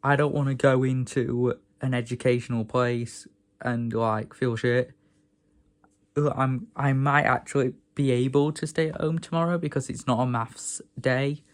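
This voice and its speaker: A young man from England, hoarse from a sore throat, rambling and grumbling in a casual vlog rant. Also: medium pitch, steady tone, a little slow. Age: young adult. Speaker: vlogger.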